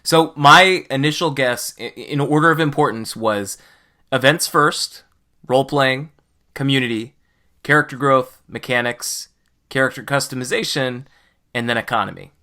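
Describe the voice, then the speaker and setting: A man's voice: mid-range voice, moderate pace, pushed and bright. A man hosting a podcast in casual, conversational discussion. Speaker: podcast host.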